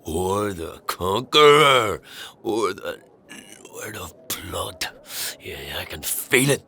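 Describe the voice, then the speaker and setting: A man playing a troubled, self-doubting young man in a dark fantasy audio drama, with a gravelly voice and hesitant, brooding delivery. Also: low-pitched, expressive, slow, heavily acted. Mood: agitated.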